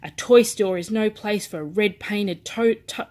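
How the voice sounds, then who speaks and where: A proud little toy tugboat from a children's storybook, played by a woman reader. It is grumpy and haughty, speaking in a sulky way at a middling pitch. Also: a little slow, a little mellow, close to an everyday voice.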